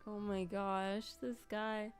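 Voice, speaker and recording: A woman streaming a game, reacting live in a casual, expressive, exclamatory way. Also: mid-range voice, slow, smooth. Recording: game music behind.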